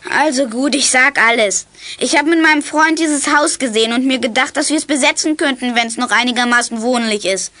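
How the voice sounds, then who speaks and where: Young kid detective in 1980s radio drama: high, bright, glib, innocent-sounding, cheeky. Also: female voice, brisk. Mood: glib, conceding. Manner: feigned innocence, glib explaining. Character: cheeky, red-haired young kid sleuth playing innocent. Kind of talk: 1980s youth radio play acting.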